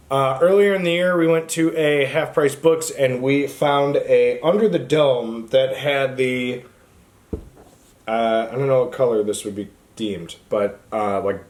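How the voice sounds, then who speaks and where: Casual man chatting about books. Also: medium pitch. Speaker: book review channel host. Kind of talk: casual book chat to camera.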